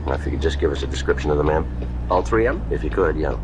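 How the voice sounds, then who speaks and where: A man playing a businesslike police detective in a 1950s radio drama, with a clipped, deadpan delivery, questioning or narrating. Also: rumbling low, very fast. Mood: terse questioning. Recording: muffled old radio playback under loud truck noise. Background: loud vehicle engine and road noise.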